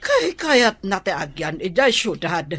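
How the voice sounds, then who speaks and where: A woman's voice: mid-range voice, very animated, unhurried, fairly bright tone, a little hiss or hum. A woman with an Ilocano accent playing a worried village mother in a drama.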